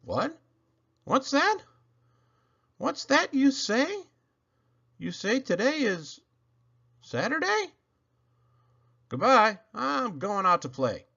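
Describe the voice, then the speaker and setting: Storybook kid faking sick, played by a man, plaintive and hammy, pitch swooping wildly, slightly dull recording. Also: big pitch swings, slow pace, gravelly, pauses often, bright, forceful tone, full character voice. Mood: surprised, perky.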